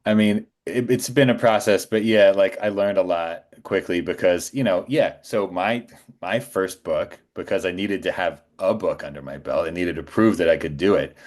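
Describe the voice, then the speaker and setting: A man with a deep, low-pitched voice speaks as a guest in a casual podcast interview. He is a voice actor, and he talks informally and conversationally. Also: normal speed, a little mellow.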